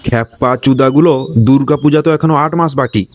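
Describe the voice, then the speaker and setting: A man's voice: medium pitch, quick pace, a little mellow, soft background music, close to an everyday voice. A man voicing a grumpy, foul-mouthed older village man in a comic cartoon, scolding and threatening indignantly. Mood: suspicious, gruff.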